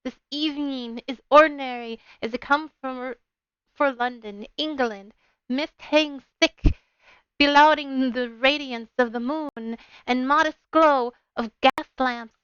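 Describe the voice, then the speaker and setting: A woman's voice: high-pitched, measured pace, fairly bright tone, clean tone, dry recording, muffled recording. A woman with a bubbly, playful manner, talking as a game streamer on a livestream. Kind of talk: casual livestream chat with read-aloud game narration. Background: game music.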